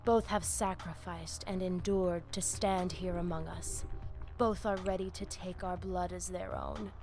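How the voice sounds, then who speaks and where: A woman voicing a proud, scornful vampire war leader in a video game, measured and oratorical, dropping in Spanish words. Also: fairly low pitch, fairly even intonation, music in the background. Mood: solemn, rousing.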